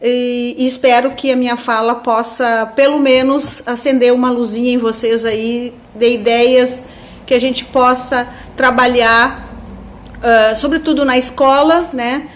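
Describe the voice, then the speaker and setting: A woman's voice: fairly high pitch, fairly even intonation, unhurried, slight background noise. A woman with a southern Brazilian accent giving an informal academic webinar talk as a professor, thinking aloud and often checking in with tag questions. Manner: reflective, seeks agreement with frequent tag questions. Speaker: university professor, webinar speaker.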